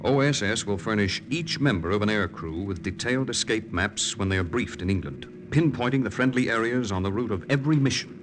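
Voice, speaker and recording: An actor playing an earnest young American undercover lieutenant, with an American accent, in 1940s radio drama style. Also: male voice, fairly low pitch, animated, brisk, few pauses, background noise, close to an everyday voice. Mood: concerned. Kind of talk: 1940s radio acting and first-person narration. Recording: vintage radio with music behind.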